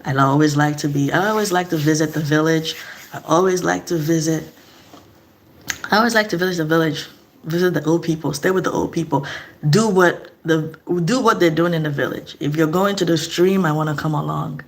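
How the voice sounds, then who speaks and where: A woman's voice: low-pitched, brisk, a little hiss or hum. A middle-aged woman from eastern Nigeria, speaking in a casual, earnest vlog monologue and asking reflective questions. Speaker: vlogger.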